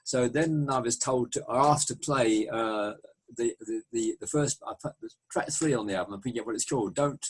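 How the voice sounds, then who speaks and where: A man's voice: medium pitch, moderate pace, husky, low-quality recording. A man hosting a radio interview, chatting casually and agreeing often with short replies. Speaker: radio presenter.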